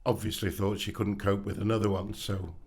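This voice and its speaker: A man in his seventies from England, a podcast guest telling stories in an unhurried way with dry wit. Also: lowish voice, level tone, breathy edge. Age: 70s. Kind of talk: casual interview chat.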